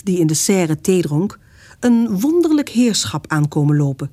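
A woman's voice: medium pitch, warm tone. A woman narrator reading a scripted story for a radio serial. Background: music.

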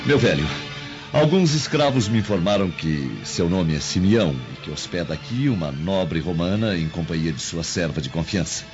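An actor playing an imperious, menacing Roman guard in a radio drama, speaking formally like an interrogator. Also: male voice, low voice, animated, moderate pace. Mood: officious, probing. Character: arrogant, menacing Roman guard, male, impatient. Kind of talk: Brazilian radio drama acting. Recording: dull lo-fi audio, music behind.

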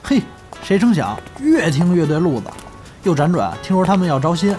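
An eager young man , played by a male voice actor in an audio drama. His pitch swings freely as he chats, with faint music behind him. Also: very animated, normal speed, darkish tone. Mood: earnest, eager. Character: eager, chatty young male crosstalk comedy hopeful. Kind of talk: Chinese audio drama dialogue.

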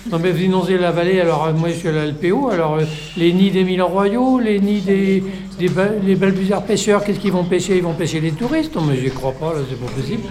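A man's voice: high-pitched, fairly quick, hardly any pauses, a little mellow, a little hiss or hum, lightly acted. A man playing an indignant environmental activist in a satirical radio fiction, sputtering, sarcastic and repeating himself. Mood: incredulous outrage. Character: indignant bird-loving environmental activist at a village meeting. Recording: echoey room with crowd murmur.

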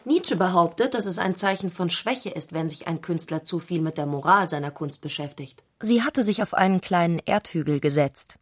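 A woman's voice: lowish voice, animated, normal speed, hardly any pauses, no echo, clean studio sound. A woman reading scripted literary prose as a narrator in a radio adaptation.

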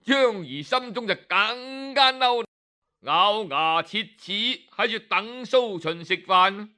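A man narrating a folk tale in a lively, dramatic storytelling style. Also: high voice, slow.

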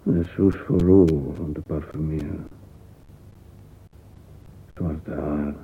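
An actor playing a drowsy, lovelorn man in a radio drama, murmuring broken thoughts in a gravelly voice. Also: a bass voice, steady tone, slow, full character voice. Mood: drowsy, longing. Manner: fragmented, murmuring, trailing into whispers. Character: drowsy, lovelorn middle-aged man lost in murmured reverie. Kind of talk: radio drama interior monologue. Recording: noisy, tinny phone-like old radio recording.

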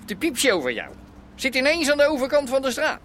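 An actor playing a slick, self-serving Rotterdam gangster in a radio drama, talking in an argumentative, businesslike way. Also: male voice, extremely high pitch, huge pitch swings, a little fast, fairly bright tone, slight background noise, heavily acted. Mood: pressing, insistent. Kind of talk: naturalistic radio drama dialogue.

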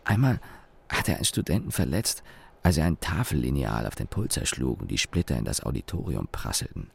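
A sardonic young engineer in a radio drama. His voice is very low and gravelly, with lively intonation. He tells his story wryly and deliberately, pausing and correcting himself as he goes. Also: exaggerated voice. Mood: dry, sardonic. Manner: wry, deliberate, with pauses and self-corrections. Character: young engineer, sardonic company employee recalling his father. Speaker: narrator and lead actor. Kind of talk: German radio play monologue, reflective storytelling.

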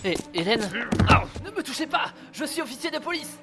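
A man's voice: very high-pitched, sing-song, brisk, heavily acted. Guy playing desperate cop brother, agitated pleading voice, french fantasy audio drama w music behind. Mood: panicked. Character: desperate, devoted brother, a police officer. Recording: music under the voice.

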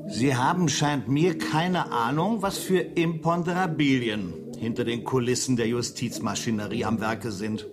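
An actor playing a pompous, smooth-talking lawyer in a German radio drama, with a wordy, fussy manner. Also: male voice, medium pitch, measured pace, few pauses, close to an everyday voice. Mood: affronted. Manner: wordy, fussy, self-important. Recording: music behind the voice.

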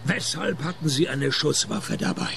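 A man's voice: on the high side, normal speed, creaky, slight background noise, full character voice. A man with a Swiss German accent playing a dry, sardonic private detective who narrates a radio play. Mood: deadpan. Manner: deadpan, sardonic.

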